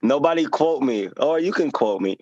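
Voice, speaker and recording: A man chats as a podcast guest in a candid, humble way and tells animated stories. His voice sits at a middle pitch. The recording is dry with no room sound. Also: moderate pace, no room sound, lo-fi sound. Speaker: podcast guest, teacher and author. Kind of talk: casual interview chat.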